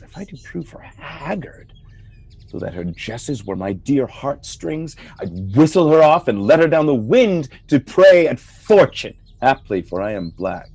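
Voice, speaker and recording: An actor playing a noble general sliding into anguished jealousy, declaiming Shakespearean verse for a radio play in a husky voice. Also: male voice, on the low side, a little mellow, exaggerated voice. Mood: brooding anguish. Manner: theatrical, declamatory verse delivery.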